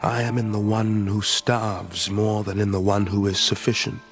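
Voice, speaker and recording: An actor playing a charismatic wine god and cult leader in an audio drama, with a measured, incantatory, poetic delivery. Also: male voice, fairly low pitch, level tone, natural voice. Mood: fervent, exalted. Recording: music behind the voice.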